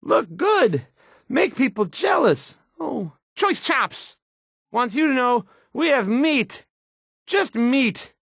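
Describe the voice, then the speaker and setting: Casting: timid young game-world DJ, anxious and awkward, halting stammer, pitch jumping all over, dry sound. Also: male voice, very animated, vocal fry, a bit bright, no room sound, full character voice. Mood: nervous. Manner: stammering, hesitant, nervous, trailing off. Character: timid, awkward young male radio host, anxious and insecure.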